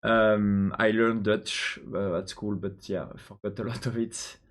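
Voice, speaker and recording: Slow-talking Belgian French man coding. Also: on the low side, level tone. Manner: hesitant, many ums and restarts, answers chat questions. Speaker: programmer live-streaming his coding. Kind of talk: thinking out loud while coding.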